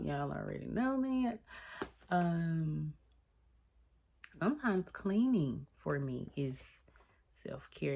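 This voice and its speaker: A woman, chatting casually to her viewers and calling them y'all. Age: 30s. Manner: chatty, addresses viewers as y'all, lively swings in pitch. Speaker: vlogger. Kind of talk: casual chat to the camera.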